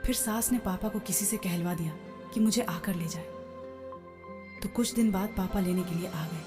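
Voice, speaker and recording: A woman's voice: fairly low pitch, moderate pace. A woman reading a scripted first-person story as its narrator. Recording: long hall-like reverb. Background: background music.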